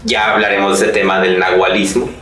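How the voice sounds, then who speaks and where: A man's voice: low voice, level tone, a little fast, a bit bright, very echoey, far from the mic, faint music behind. A man with a Mexican accent hosting a casual chat, joking and rambling with lots of fillers. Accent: Mexican Spanish. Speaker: podcast host.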